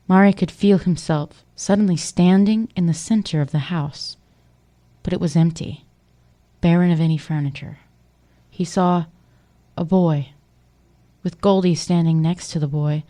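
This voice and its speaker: A woman's voice: on the low side, mellow. A woman giving scripted narration for an audio drama.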